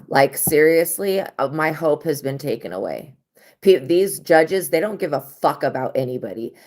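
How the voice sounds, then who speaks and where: A woman tarot reader hosting a live stream in a casual monologue, with a hoarse, worn-out voice and a blunt, profane way of talking. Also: low-pitched, a little mellow. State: hoarse, lost voice.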